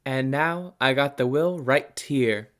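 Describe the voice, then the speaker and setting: Smooth, nosy talk-show doctor played by a student. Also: medium pitch, slow, fairly bright tone. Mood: showy, steering. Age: teenager or young adult student. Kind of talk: student radio play, talk-show hosting.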